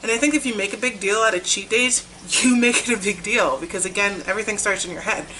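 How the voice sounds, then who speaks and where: Bright-voiced woman losing her voice. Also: mid-range voice, quick pace, a little hiss or hum, low-quality recording. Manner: chatty and upbeat, with fillers. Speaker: vlogger. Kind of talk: casual weight-loss vlog.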